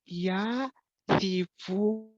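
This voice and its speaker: Very slow woman student, halting. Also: medium pitch, a little mellow, dry recording, lo-fi sound. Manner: halting, word-by-word beginner.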